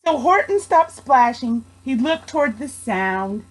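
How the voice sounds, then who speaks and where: A young woman reading a children's storybook aloud in an expressive storytelling style. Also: high-pitched, a little slow, rough recording. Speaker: storybook reader, education student.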